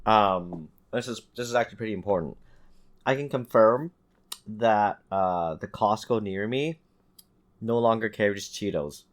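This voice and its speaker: A man telling stories in casual, animated podcast banter as a host, with some swearing. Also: fairly low pitch, unhurried. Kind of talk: casual chat, storytelling.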